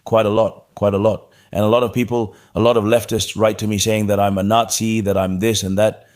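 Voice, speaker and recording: Low-voiced man, blunt and repetitive. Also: level tone, a little fast, a little mellow. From Morocco. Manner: blunt, repeats his points. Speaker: guest. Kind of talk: casual interview talk.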